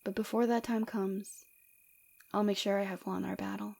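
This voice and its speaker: Mellow, flirtatious villainess played by a woman. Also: mid-range voice, flat delivery, normal speed, gravelly, slightly rough. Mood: flirtatious, teasing. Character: flirtatious, forward female villain, rebel fighter, secretly caring. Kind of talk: ASMR roleplay acting.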